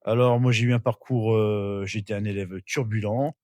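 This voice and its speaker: A man's voice: fairly low pitch, steady tone, moderate pace, dark tone, no echo, clean studio sound. A middle-aged man from northern France, a podcast guest telling his life story casually, with many fillers and restarts. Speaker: interview guest.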